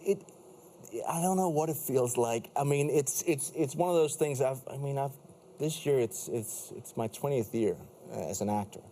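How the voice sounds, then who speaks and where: Male Danish-native actor guest, mellow tone, rambling restarts, faint noise behind. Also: medium pitch, moderate pace. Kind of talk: casual chat.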